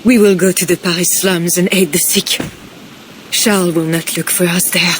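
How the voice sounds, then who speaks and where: An actress playing a pious, gentle noblewoman in a video game cutscene, speaking softly and earnestly. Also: lowish voice, steady tone, normal speed, noisy background, natural voice. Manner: soft, earnest and devout. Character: pious, gentle noblewoman estranged from her husband. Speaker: queen.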